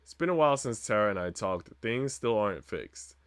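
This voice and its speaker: A man's voice: fairly low pitch, lively intonation, a little fast, fairly bright tone, smooth, no echo, studio quality. A man streaming a visual novel, reading the story narration expressively with casual asides.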